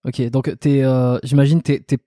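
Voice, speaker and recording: A man hosts a relaxed interview chat, full of fillers and restarts. His voice is mellow and dark, close on a boom mic in a dry home room. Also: moderate pace, no room sound. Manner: hesitant, many fillers and restarts. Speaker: podcast host, osteopath. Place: home room with lamp, video call. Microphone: studio mic on boom arm, close.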